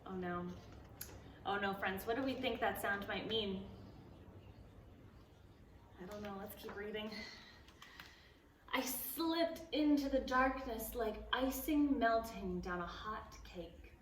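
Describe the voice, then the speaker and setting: A woman reading a picture book aloud to preschoolers at a library storytime, animated and playful, stopping to ask the children questions. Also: medium pitch, slow, long pauses, a little hiss or hum. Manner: playful, gasps and exclaims at the story. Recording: echoey room, voice a bit far from the mic.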